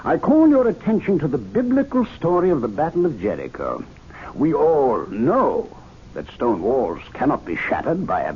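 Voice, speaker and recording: An assured, didactic club gentleman holds forth in a radio mystery play. His delivery is oratorical and highly animated. The sound is a dull vintage recording with faint noise. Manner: oratorical, deliberate rhetorical phrasing. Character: authoritative male club member, assured, didactic, persuasive theorist. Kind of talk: radio mystery drama acting.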